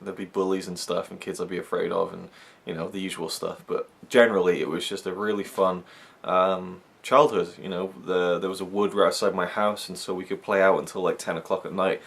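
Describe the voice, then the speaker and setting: Brief: young man from Wales, deep voice, rambling chatty vlogger. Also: level tone, brisk, vocal fry, few pauses, husky. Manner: rambling, chatty, many fillers. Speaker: YouTube reviewer. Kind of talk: casual chat to camera.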